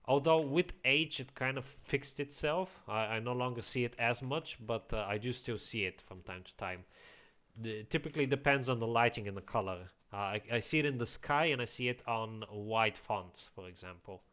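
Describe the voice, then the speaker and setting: A man's voice: medium pitch, moderate pace. Man with a slight Eastern European accent. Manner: reads chat aloud, chuckles often, relaxed. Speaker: streamer. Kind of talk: casual live-stream chat while playing. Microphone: quiet home microphone.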